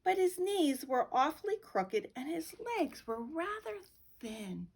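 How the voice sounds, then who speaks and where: A middle-aged woman reading a children's picture book aloud with slow, expressive storytelling. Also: high-pitched. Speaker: guest reader.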